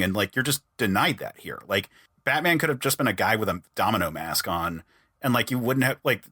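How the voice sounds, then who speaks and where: A man's voice: lowish voice, pushed and bright, no room sound. A man in casual, informal pop-culture discussion, often dropping "like" into his sentences. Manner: informal, peppered with "like".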